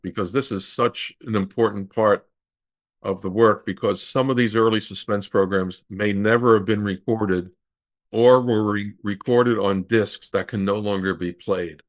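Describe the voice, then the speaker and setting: A man speaking as an interview guest in a measured, halting, scholarly way, explaining things conversationally. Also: on the low side, steady tone. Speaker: interview guest, radio historian.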